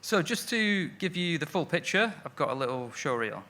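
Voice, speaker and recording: A man's voice: a little high, big pitch swings, normal speed, sharp tone. A man from Manchester, giving a friendly, self-deprecating conference talk on stage, with many fillers. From Manchester, England. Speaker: lettering artist giving a talk. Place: stage at an evening event.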